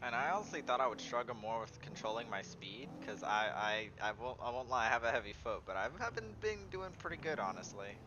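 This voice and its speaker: A man with a medium-pitched voice makes easygoing, agreeable small talk in a police roleplay. Vehicle noise is clearly heard behind him. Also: lively intonation, brisk, hardly any pauses, muffled recording. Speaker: trainee officer in a police roleplay. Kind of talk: casual in-character roleplay chat.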